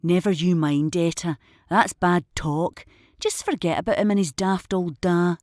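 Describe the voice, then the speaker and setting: Actress as protective, sharp-tongued older Glaswegian woman. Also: fairly low pitch, a little slow, no echo, studio quality, natural voice. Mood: soothing, protective. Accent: Glaswegian Scottish. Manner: colloquial, blunt but tender with the child. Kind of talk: audio drama acting.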